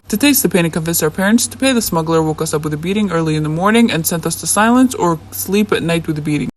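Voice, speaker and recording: A narrator, a native Somali speaker, reads a scripted debunking in an indignant tone. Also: mid-range voice. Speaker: video essay narrator.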